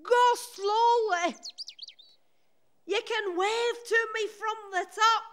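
A woman's voice: extremely high pitch, steady tone, takes it slowly, fairly bright tone, a little hiss or hum, exaggerated voice. A woman playing a fretful, scolding wife in a radio play, calling out in exasperation. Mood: impatient. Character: nagging, fretful wife afraid of heights. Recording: echoey, slightly distant from mic.

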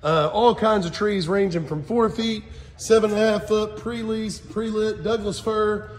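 A man in his forties with a high-pitched voice presents auction goods in a casual, folksy way, running through items as he goes. He is filming on a phone, and his voice rings around a big, echoing warehouse hall. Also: fairly even intonation, a little slow, a little hiss or hum. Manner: folksy, addresses viewers as guys. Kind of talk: casual live walkthrough commentary, listing items. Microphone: handheld phone. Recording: echoey warehouse hall reverb.

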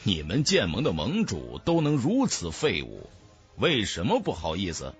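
A man voicing a calm, proud young martial-arts hero in an audiobook, cool, disdainful and defiant. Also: lowish voice, moderate pace, soft background music, pitched below the performer's own voice. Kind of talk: audiobook character acting.

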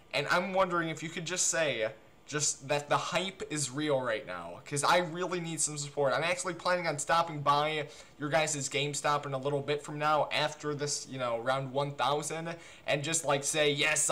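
A man talking casually and excitably as a game streamer, with repetitive, stammering phrasing on a noisy livestream recording. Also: fairly high pitch. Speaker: game streamer making a prank call.

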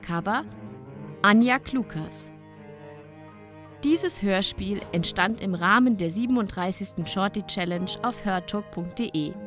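A woman's voice: medium pitch, a little slow. A woman announcer reading scripted credits over music.

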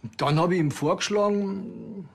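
An actor playing an uneasy, hesitant town culture official in a TV crime series. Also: male voice, high voice, moderate pace, creaky, husky, heavily acted. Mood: guarded, uneasy.

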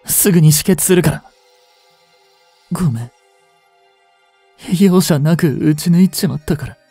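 An actor playing an anguished, devoted young police officer boyfriend in an audio drama, with breathy, halting, emotional delivery. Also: male voice, fairly high pitch, dark tone, dry recording, soft background music. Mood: desperate, pleading. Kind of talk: dramatic Japanese situation voice acting.

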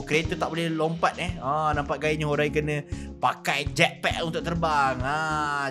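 A Malaysian man hosting a gaming video with excited, exclamatory gameplay commentary. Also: high-pitched. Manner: exclamatory, animated reactions.

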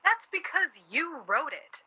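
Woman as worried 1940s wife, sardonic, piercingly bright, slightly muffled recording, radio play. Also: high-pitched, sing-song, unhurried, full character voice. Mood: bitter, worried. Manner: snippy, sardonic.

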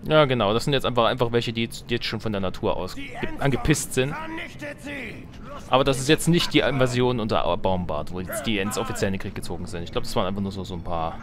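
A man's voice: fairly low pitch, fast. A man giving casual, joking gaming commentary in a colloquial way. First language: German. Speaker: let's player. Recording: game music behind the voice. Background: strategy game music and effects.

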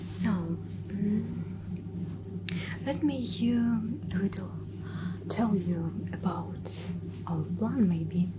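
A woman in her thirties whispering breathily in an ASMR flight attendant roleplay, with halting, hesitant phrasing, close to the microphone. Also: mid-range voice, heavy background noise.

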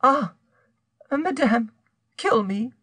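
A terrified young wife from a storybook melodrama played by a male narrator. Her voice sits very high, far above natural speech, imploring and desperate. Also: unhurried, a little mellow, no room sound. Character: terrified pleading young woman, guilty adulterous wife.

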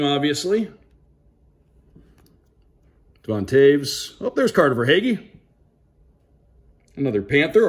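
Man with lively, animated unboxing commentary. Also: on the high side. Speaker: radio sports play-by-play broadcaster. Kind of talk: casual unboxing commentary, reading out names.

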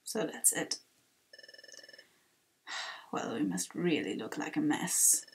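A woman voicing a gruff, grudging villain skunk gang chief in a video game, muttering breathily. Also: deep voice, expressive, slow pace, pitched below the performer's own voice, full character voice. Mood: grumpy, weary.

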